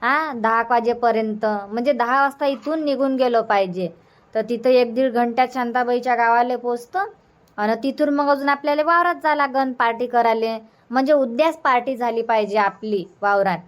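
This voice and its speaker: A woman's voice: a little high, moderate pace, natural voice. A woman voicing a chatty, practical village mother in a cartoon comedy, speaking with a Varhadi Marathi accent in a homely, repetitive way. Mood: agreeable, planning. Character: chatty, practical village mother, middle-aged or older, organising an outing.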